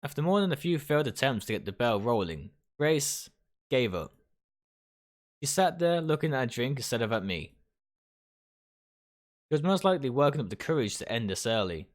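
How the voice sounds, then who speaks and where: A man's voice: mid-range voice, very animated, moderate pace, pauses often. A man reading first-person narration aloud, stumbling over words now and then and rereading phrases. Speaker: game streamer reading a visual novel aloud. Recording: music behind the voice.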